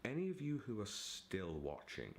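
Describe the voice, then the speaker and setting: A man's voice: on the low side, huge pitch swings, normal speed, vocal fry, a little hiss or hum. A man talking casually to camera as a vlogger and writer, in a theatrical, giddy, digressive manner. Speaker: vlogger and aspiring novelist.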